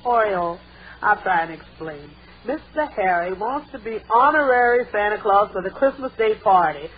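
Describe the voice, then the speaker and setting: An actress playing a sensible, folksy, long-suffering housemaid in a vintage radio comedy. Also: female voice, medium pitch, lively intonation, moderate pace, slight background noise, lightly acted. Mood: exasperated. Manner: folksy, wry, exasperated asides. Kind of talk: 1940s-50s radio sitcom acting. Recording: dull old radio broadcast recording.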